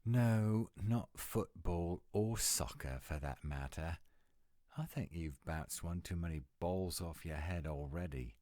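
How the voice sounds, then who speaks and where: A man's voice: sits low, slow pace, dark tone, no room sound, clean studio sound. A man voicing a grumpy, exasperated British werewolf in a scripted audio roleplay, curt and scolding, with a British accent. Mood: stern, explaining.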